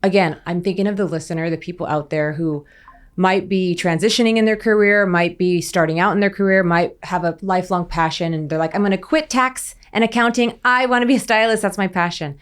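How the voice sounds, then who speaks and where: A woman hosts a podcast interview in an upbeat, chatty manner. She speaks in a slightly low register and keeps the talk moving with quick questions and interjections. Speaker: podcast host. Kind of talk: conversational interview.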